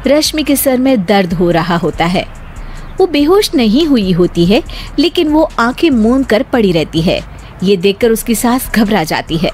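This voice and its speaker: A woman narrating a cartoon story in a lively storytelling way. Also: a little high, measured pace. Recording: faint music behind.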